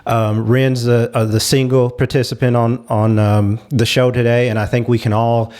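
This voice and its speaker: A man's voice: mid-range voice, level tone, normal speed, a little mellow. A man hosting a panel discussion, thinking aloud in an earnest, conversational way. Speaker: show host.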